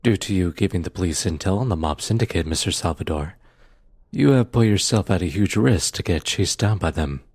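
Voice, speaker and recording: Man acting a deep, husky, serious detective. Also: animated, a little mellow, dry recording, exaggerated voice. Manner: measured, formal, composed. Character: calm, formal, serious male police detective. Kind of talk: audio roleplay acting.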